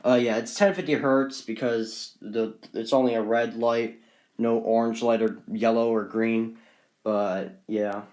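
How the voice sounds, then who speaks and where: A man's voice: lowish voice, fairly even intonation, unhurried, darkish tone. A man presenting his hobby collection in a casual, unscripted vlog, talking in a rambling, repetitive and enthusiastic way.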